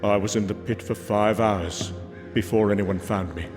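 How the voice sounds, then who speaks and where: A man's voice: fairly low pitch, fairly even intonation, voice pushed down, close to an everyday voice. Man narrating as brooding, solemn god. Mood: haunted, solemn. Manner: solemn, measured storytelling. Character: brooding male god recalling a haunting horror. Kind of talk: first-person scripted narration. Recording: dramatic music behind the voice.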